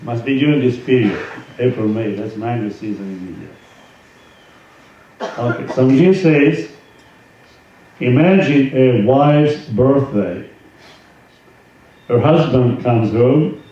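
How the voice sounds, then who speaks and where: A man speaking as a swami, giving an informal spiritual talk and reading aloud from a book, with halting conversational asides. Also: medium pitch, expressive, warm tone. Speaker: swami, yoga teacher. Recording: roomy echo, distant mic, dull sound, faint noise.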